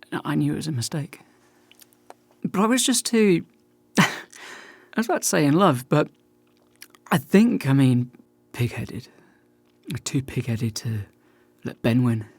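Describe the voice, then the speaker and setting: A man's voice: on the high side, darkish tone, no echo. An actor playing a wry, self-deprecating, slightly hesitant man in a dorm, in a naturalistic radio play.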